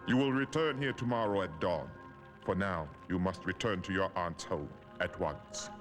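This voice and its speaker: A man playing a stern, mysterious older mentor in an animated series, speaking formally and solemnly. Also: low voice, moderate pace, natural voice. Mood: solemn, instructing. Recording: music behind the dialogue.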